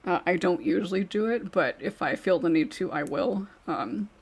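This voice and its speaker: A woman's voice: lowish voice, animated, normal speed, husky. A woman hosting a podcast in casual panel chat, reading out questions and giving her own answers. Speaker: podcast host and script writer.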